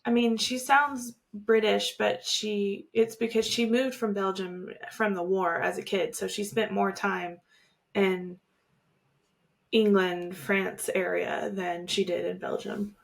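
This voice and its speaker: A woman's voice: medium pitch, steady tone, slow, gravelly. A woman co-hosting a podcast, talking casually and conversationally, often agreeing with her co-host. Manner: conversational, agreeable, hedging with fillers. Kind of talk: casual chat.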